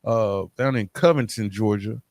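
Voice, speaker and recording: A man hosting an interview podcast, speaking in a halting way as he searches for words. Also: lowish voice, darkish tone, dry recording. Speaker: podcast host. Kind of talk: hosting an interview podcast, wrapping up with calls to action.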